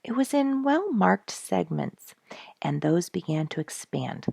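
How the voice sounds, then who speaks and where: Woman reading a story aloud, expressive and dramatic, raspy voice, close dry recording. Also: fairly low pitch, measured pace, no room sound. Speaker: storyteller, show host. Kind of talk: scripted storybook narration.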